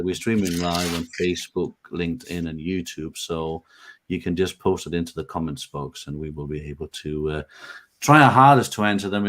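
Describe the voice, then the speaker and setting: A very deep-voiced man hosts a live stream from a video call. His voice is a little croaky after being unwell, and he talks in a friendly, hesitant way with plenty of ums and uhs. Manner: friendly, hesitant with many fillers. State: slightly croaky from illness. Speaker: host.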